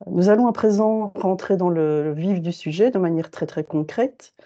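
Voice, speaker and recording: A woman's voice: fairly low pitch, moderate pace, mellow. A woman moderating a webinar, thanking speakers and giving polished introductions. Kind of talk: formal moderation. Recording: muffled lo-fi video call audio.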